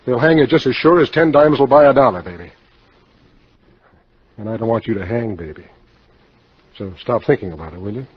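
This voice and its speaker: Muffled vintage radio sound frames a hardboiled man with a husky voice. He is a cynical insurance salesman who talks in terse, slangy wisecracks, in fifties noir style. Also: mid-range voice, animated, fairly quick, pauses often. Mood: hard warning. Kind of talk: 1950s radio drama acting, hardboiled noir. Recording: dull, lo-fi 1950 radio broadcast.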